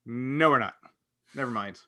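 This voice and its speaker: A man co-hosting a casual panel chat about a TV show, animated and opinionated as he steers the discussion. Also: medium pitch, normal speed. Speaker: podcast co-host leading the discussion.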